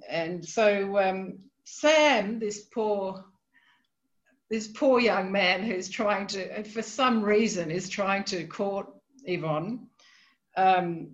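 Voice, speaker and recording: A woman's voice: mid-range voice, muffled recording. A woman with an Australian accent, a literary scholar on a podcast, talking about a short story in a hesitant, thinking-aloud way with many ums and restarts. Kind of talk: podcast discussion of a novel.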